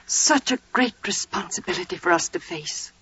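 A woman's voice: fairly low pitch, expressive, moderate pace, sharp tone, slightly rough, dry recording, exaggerated voice. An actress playing an earnest, resolute mother in a biblical 1940s radio drama, with solemn, dramatic delivery. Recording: muffled 1940s radio recording.

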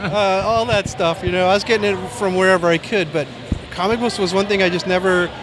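Soft-spoken older American man, high-pitched voice. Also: steady tone, brisk. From Maine, United States. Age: 60s. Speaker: comic book artist, interview guest. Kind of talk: casual, reminiscing interview talk. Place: busy convention floor. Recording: faint convention floor noise.

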